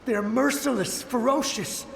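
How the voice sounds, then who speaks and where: A high-voiced, haunted samurai ghost, echoing. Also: male voice, gravelly, a bit bright, slight background noise, heavily acted. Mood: frantic warning. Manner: halting, pleading, dramatic. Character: ghostly samurai soldier, desperate, haunted, fiercely loyal. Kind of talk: video game voice acting. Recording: roomy long ghostly reverb. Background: faint music.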